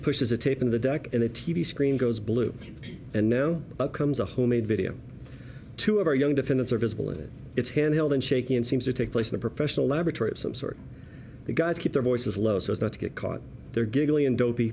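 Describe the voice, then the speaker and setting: A man with a mid-pitched voice reads screenplay action aloud. His narration is wry and conversational, like telling a story to colleagues. Faint room noise sits behind him. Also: creaky, a little mellow. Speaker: stage-direction reader at a table read. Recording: noisy room ambience.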